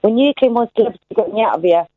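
A woman's voice: medium pitch, animated, rapid-fire, no echo. A woman in her late thirties rings in to a radio show, talking eagerly and heaping on praise. Her voice comes through a narrow, tinny telephone line. It is dry, with no room sound. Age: 30s. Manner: chatty and effusive. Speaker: caller. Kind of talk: casual phone-in chat.

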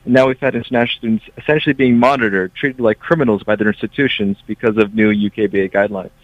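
A man's voice: on the low side, fairly even intonation, quick pace, no echo. A man from Brazil who grew up in the United States, a student union officer, speaking earnestly in a radio interview. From Brazil, raised in the United States. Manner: earnest, emphatic. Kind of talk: radio interview over a line. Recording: dull lo-fi line.

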